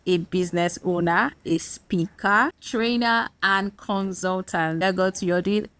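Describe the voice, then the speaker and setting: A woman's voice: medium pitch, steady tone, a little slow, fairly bright tone, no room sound. A woman with a Nigerian accent hosts a podcast interview, talking in a chatty and upbeat way. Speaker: podcast host.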